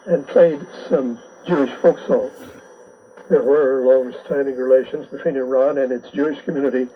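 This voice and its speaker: A male host reads a scripted radio bulletin in a measured way. It comes through a shortwave receiver, muffled and narrow in band, with light noise behind the voice. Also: mid-range voice. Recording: noisy, band-limited shortwave radio reception.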